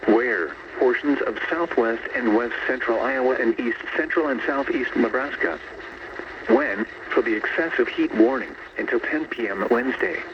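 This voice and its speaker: Noisy radio weather announcer, synthetic male voice. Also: mid-range voice. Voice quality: synthetic text-to-speech voice. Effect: weather radio broadcast. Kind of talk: scripted weather bulletin narration. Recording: noisy, muffled long-distance radio reception.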